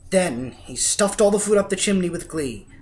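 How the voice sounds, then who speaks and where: A man's voice: sits high, normal speed, a little hiss or hum. A man reading a rhyming storybook as a dramatic, theatrical narrator. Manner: theatrical, expressive. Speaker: storybook reader.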